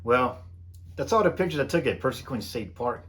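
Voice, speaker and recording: A middle-aged American man reading a scripted voice-over in a measured, plain explanatory way, as the host of a photography video. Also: mid-range voice, animated, fairly bright tone, breathy edge. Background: background music.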